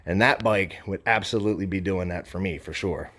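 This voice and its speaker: A man's voice: low-pitched, a little fast, a bit bright. A man giving a conversational, enthusiastic, unscripted sales walkaround as a motorcycle dealer. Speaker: motorcycle dealer presenting a bike for sale.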